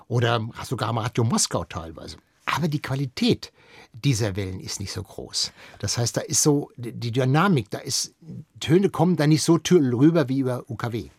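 A man's voice: medium pitch, expressive, normal speed, breathy edge, no room sound. An older man, a radio veteran, explains things conversationally as an interviewed expert, often correcting himself as he thinks aloud.

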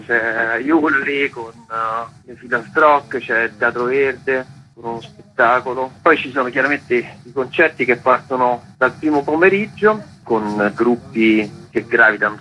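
A man's voice: medium pitch, poor audio. A man, a festival organizer chatting loosely in a radio interview, full of fillers. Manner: rambling, relaxed, many fillers.

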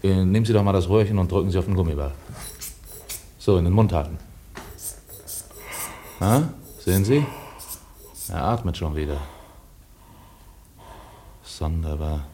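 An actor in a radio play playing a calm, helpful traveller who takes charge in a measured, matter-of-fact way. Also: male voice, low voice, a little hiss or hum. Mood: composed.

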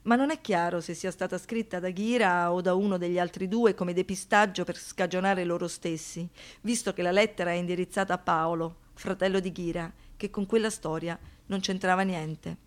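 A woman giving scripted documentary narration for radio theatre, measured and deliberate. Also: lowish voice, steady tone. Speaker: stage narrator.

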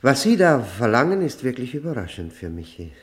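An actor in a German radio play playing a troubled, educated convicted man with a wry, halting, formal way of speaking. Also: mid-range voice, very animated, close to an everyday voice. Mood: uneasy, deflecting.

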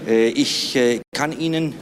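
A man answering a press interviewer as a senior official, haltingly, with many ers and tag questions. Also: mid-range voice, a crowd in the background. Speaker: senior public prosecutor. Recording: noisy location interview with traffic.

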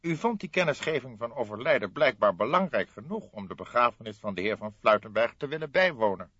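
A man's voice: medium pitch, big pitch swings, brisk, a bit bright, husky, dry recording, highs cut off, pitched above the performer's own voice. A man voicing a stout, indignant notary in an audiobook, flustered and stammering with pompous formal wording. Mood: hesitant, insistent. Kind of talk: audiobook character voice.

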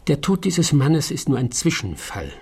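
Brooding master detective, very husky, gravelly, commanding, deliberate rhetorical questions, German radio play. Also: male voice, a little high, sing-song, warm tone, full character voice. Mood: brooding, intense. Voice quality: raspy, gravelly. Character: brilliant, intense male detective, brooding and commanding. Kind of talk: German radio drama acting.

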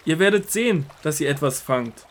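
A man performs the risen Jesus as a calm, authoritative teacher in a Bible radio play. His fairly high voice is measured and solemn, tender yet commanding. There is clear noise behind him. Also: close to an everyday voice. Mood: calling, inviting. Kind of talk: audio drama Bible acting.

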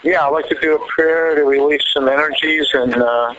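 A man calling in by phone, speaking in a halting, rambling way and often reworking his phrases. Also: fairly high pitch, steady tone, fairly bright tone. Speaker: caller to a prayer line. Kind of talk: unscripted phone conversation. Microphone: telephone. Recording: muffled, noisy phone call recording.

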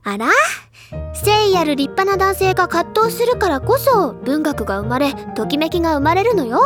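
An actress playing a cheerful, bookish teenage club president in an audio drama, with gushing, theatrical, feminine phrasing. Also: female voice, extremely high pitch, sing-song, moderate pace, over music. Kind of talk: Japanese audio drama, anime-style acting.

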